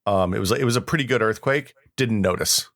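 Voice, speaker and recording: A man's voice: on the low side, fairly quick, a bit bright. A middle-aged American man co-hosting a podcast, chatting casually and reminiscing. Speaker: podcast co-host.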